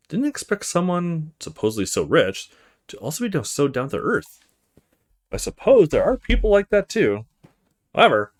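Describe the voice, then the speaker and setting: A man's voice: a little high, a little mellow. A man playing a reserved, polite young student in a visual novel, with a hesitant, thoughtful manner. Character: reserved young male student, wary, polite, inwardly musing.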